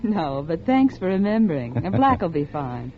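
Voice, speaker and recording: Muffled noisy old radio recording, genteel society lady, polite but guarded, very expressive pitch. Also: moderate pace. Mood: guarded, wistful. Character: poised society woman reuniting with an old flame. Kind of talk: 1940s radio drama acting.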